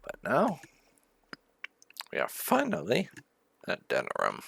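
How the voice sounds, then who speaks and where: A man's voice: medium pitch, huge pitch swings, slow pace, gravelly, a bit bright, slight background noise. A man giving casual commentary as host of a game playthrough. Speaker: gaming video host.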